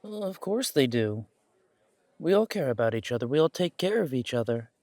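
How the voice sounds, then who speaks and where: A man's voice: mid-range voice, big pitch swings, normal speed, darkish tone, no room sound, close to an everyday voice. A man playing an earnest, naive young werewolf in an audio roleplay, hesitant, defensive and eager to please. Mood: loyal, uneasy. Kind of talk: audio roleplay drama acting.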